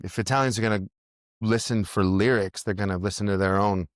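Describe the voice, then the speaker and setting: A man's voice: fairly low pitch, steady tone, fairly quick, dry recording, studio quality. An American man hosting a casual podcast conversation, rambling with many restarts and self-corrections. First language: English. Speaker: podcast host.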